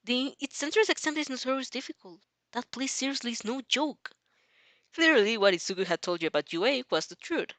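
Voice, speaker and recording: A woman's voice: on the high side, lively intonation, brisk, a bit bright, dry recording. A woman narrating a fan story informally, with a giggly manner and stumbles over the lines. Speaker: fanfic reader.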